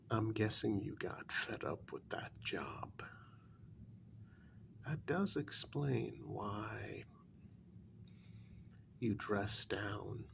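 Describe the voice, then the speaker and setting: Casting: male actor as sardonic, quietly menacing kidnapper for ASMR role-play. Very gravelly soft voice with whispers, drawn-out halting delivery. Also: fairly low pitch, heavily acted. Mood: wry, probing. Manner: slow, deliberate, halting, sardonic.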